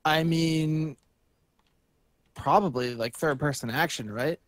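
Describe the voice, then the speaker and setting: Higher-voiced man, blunt and informal. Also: takes it slowly, no echo. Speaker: show co-host. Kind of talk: casual video game panel chat.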